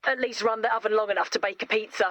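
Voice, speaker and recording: A woman voicing an eager young female inventor in an animated video game, enthusiastic and emphatic, cheerfully matter-of-fact about macabre things. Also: a little high, rapid-fire, lightly acted. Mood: gleeful, eager.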